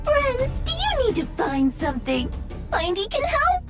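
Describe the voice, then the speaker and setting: A tiny, eager game robot, chirps in a very high voice that bounces all over. It talks in cheery machine formulas, with music clearly behind it. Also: female voice, huge pitch swings, measured pace, heavily acted. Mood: eager, friendly. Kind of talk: video game cutscene acting.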